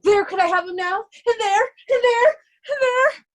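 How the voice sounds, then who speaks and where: Very shrill raving mad beggar. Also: female voice. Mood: raving, tormented. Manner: wild, chanting, drawn-out nonsense. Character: Edgar feigning a raving mad beggar, Poor Tom. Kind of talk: amateur Shakespeare reading.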